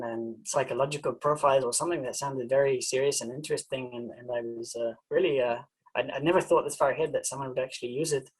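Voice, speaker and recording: A man from New Zealand, a university professor, gives an informal presentation talk in a halting way, often repeating words as he searches for them. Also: mid-range voice, husky, low-quality recording.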